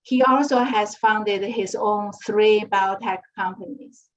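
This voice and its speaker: A woman moderator reading a formal speaker introduction in a measured way. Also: mid-range voice, slight background noise. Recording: muffled lo-fi audio.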